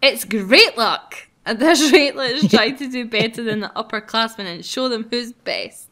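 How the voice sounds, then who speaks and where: A woman's voice: on the high side, expressive, a little slow, bright, forceful tone. Giggly woman reading a visual novel aloud. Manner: giggly, self-mocking. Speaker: gaming video host. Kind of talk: casual playful read-aloud of a visual novel.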